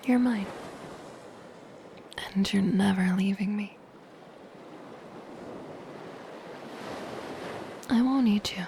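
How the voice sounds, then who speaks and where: A woman voicing a soft-spoken, possessive and lonely siren in an ASMR roleplay, in a breathy, gentle, intimate whisper. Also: mid-range voice. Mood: softly possessive. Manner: slow, gentle, intimate delivery. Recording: close whisper under ocean surf noise. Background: ocean waves and surf.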